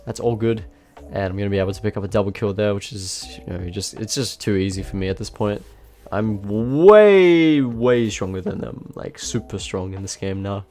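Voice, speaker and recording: A man with a blocked nose and a slightly nasal voice, giving relaxed, self-mocking voice-over commentary on his own match. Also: on the low side. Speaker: gameplay commentator. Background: faint background music.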